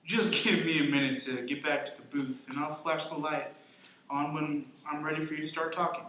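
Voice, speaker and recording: A somewhat high-voiced man acting as a young student sound tech in a radio play, brisk and no-nonsense. He is in a very echoey room with faint noise. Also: fairly even intonation, sharp tone. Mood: businesslike. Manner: brisk, instructive. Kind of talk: amateur radio drama acting.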